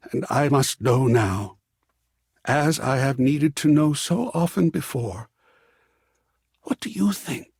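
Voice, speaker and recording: An actor playing an effusive, romantic living artwork in a video game, with florid, rhapsodic, theatrical delivery. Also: male voice, fairly high pitch, creaky, a little mellow, slightly rough, no room sound, clean studio sound, full character voice. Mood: lovestruck, earnest. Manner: florid, rhapsodic, savouring pauses, swooping dramatically. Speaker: voiced dateable character in a dating simulator game.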